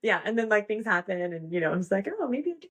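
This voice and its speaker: A woman's voice: medium pitch, lively intonation, fast talker. A woman in her thirties, a podcast guest chatting casually, rambling with many fillers and frequent giggles. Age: 30s. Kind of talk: casual interview chat.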